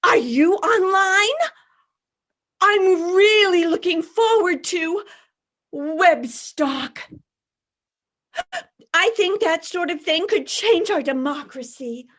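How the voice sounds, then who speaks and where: Woman acting a high-voiced, manic young blind date. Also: expressive, fairly bright tone, exaggerated voice. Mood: nervous, self-conscious. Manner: halting, nervous, apologetic, over-eager to impress. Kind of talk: theatrical monologue, comedy reading.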